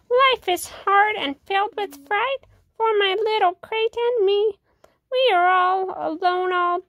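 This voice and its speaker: A woman's voice: very high voice, pushed and bright, no echo, clean studio sound, pitched above the performer's own voice, exaggerated voice. A woman voicing a small, lonely kitten in a storybook read-along, earnest and melodramatic in a singsong lament. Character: small abandoned kitten, plaintive, dramatic, earnest.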